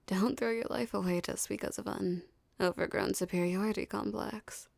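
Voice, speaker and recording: Woman acting a creaky, seductive female mafia boss. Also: medium pitch, fairly even intonation, a little mellow, a little hiss or hum. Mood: cool, threatening. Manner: slow, teasing, condescending drawl. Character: dominant, seductive female mafia boss, menacingly self-assured. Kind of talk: ASMR roleplay acting.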